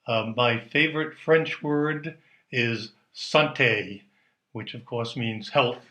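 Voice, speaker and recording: A man's voice: medium pitch, slow pace, bright, forceful tone. A man from the United States leaving a casual video message about a favourite word. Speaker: learner sharing a favourite word. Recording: echoey room.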